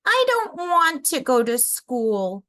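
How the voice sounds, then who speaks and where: A woman's voice: high-pitched, sing-song, slow, fairly bright tone, voice pushed up, exaggerated voice. A woman voicing a little boy raccoon, an anxious, affectionate child with a plaintive way of talking, in a storybook reading. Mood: pleading, tearful.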